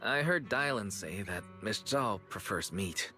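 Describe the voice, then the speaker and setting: A man's voice: on the low side, animated, measured pace, pushed and bright. An actor playing a kind, easygoing young man in an anime-style video game, talking in a friendly, reassuring way. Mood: warm, appreciative. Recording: background music under voice.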